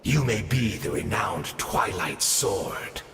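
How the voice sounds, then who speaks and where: A weary old immortal warrior for a fantasy game, wry and courteous. His low voice crackles with gravel, and he takes his time over every phrase in a cavernous, echoing space. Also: lively intonation, fairly bright tone, exaggerated voice. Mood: weary, reasoning. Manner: measured, wry, conversational taunting with rhetorical questions. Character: weary, cursed immortal old male swordsman, wryly courteous. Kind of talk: dramatic video game voice acting. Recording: long cavernous reverb, music behind.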